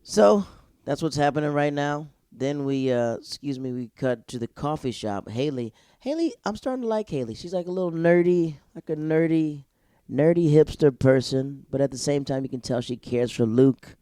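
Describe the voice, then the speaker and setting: A man's voice: fairly high pitch, expressive, normal speed, mellow, dry recording, studio quality. A young man hosting his own podcast alone, reviewing a TV episode in a rambling, slangy, digressive way. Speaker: solo podcast host.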